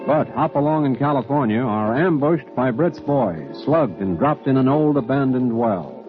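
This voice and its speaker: An actor as a brisk announcer narrating an old-time Western radio serial. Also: male voice, mid-range voice, expressive, close to an everyday voice. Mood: dramatic. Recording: tinny old radio recording. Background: music.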